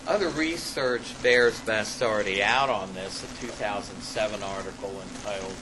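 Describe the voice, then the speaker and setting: An older man reading an academic paper aloud to a class, halting and deliberate, pausing for asides and repeating himself. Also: fairly low pitch. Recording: clearly noisy live classroom recording.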